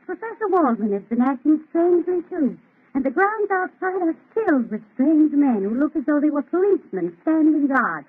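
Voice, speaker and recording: Young bride, anxious and devoted, theatrical vintage radio drama delivery, lo-fi and muffled. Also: female voice, high-pitched, big pitch swings, moderate pace. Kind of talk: 1930s radio drama acting.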